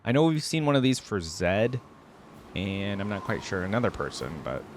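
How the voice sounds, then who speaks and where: Low-voiced man giving casual reaction commentary. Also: big pitch swings, normal speed, noisy background. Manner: informal, slangy, musing aloud. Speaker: reaction video host. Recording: faint music behind the voice.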